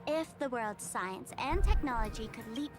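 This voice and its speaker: A woman's voice: a little high, sing-song, moderate pace, over loud music. A woman playing a sly, nonchalant young female trickster in a video game, breezy and sardonic. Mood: teasing, dismissive. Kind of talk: video game character acting.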